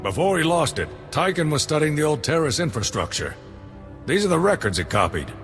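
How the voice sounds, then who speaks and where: A man's voice: a little high, big pitch swings, fairly quick, a bit bright. A man playing a blunt, grateful security chief in a game, plain-spoken in manner. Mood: businesslike. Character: gruff but grateful male security chief. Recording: long reverb, faint music.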